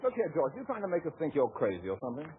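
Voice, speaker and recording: An actor playing a conniving, mock-helpful sidekick in a 1940s radio comedy. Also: male voice, fairly high pitch, wildly swooping pitch, rapid-fire. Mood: scheming, mock-concerned. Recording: very noisy, tinny vintage radio recording.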